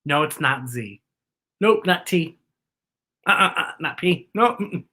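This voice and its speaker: A woman's voice: low-pitched, animated, unhurried, sharp tone. A woman hosting a children's story time in a playful, theatrical, sing-song manner. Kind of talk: children's read-aloud show presenting.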